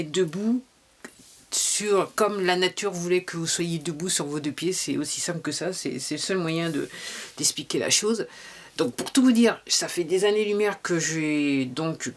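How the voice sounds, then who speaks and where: A woman's voice: lowish voice, normal speed. A woman in her fifties talking casually to camera about her shoes. She rambles, restarts often and fills gaps with "euh" and "voilà". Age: 50s. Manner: rambling, many restarts and fillers. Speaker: vlogger.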